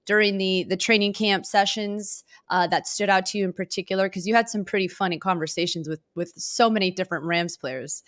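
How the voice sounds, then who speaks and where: A woman's voice: medium pitch, fairly even intonation, a little fast, a bit bright, no echo. Chatty woman hosting, close dry sound. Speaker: sports show host interviewing a guest. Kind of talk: casual sports interview chat.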